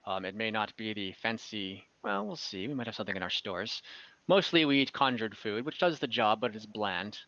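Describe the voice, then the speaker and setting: A man voicing an old kobold leader and grandfather in a tabletop game, speaking politely in halting, measured phrases. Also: lowish voice, very animated, sharp tone, no echo, muffled recording, heavily acted. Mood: hospitable.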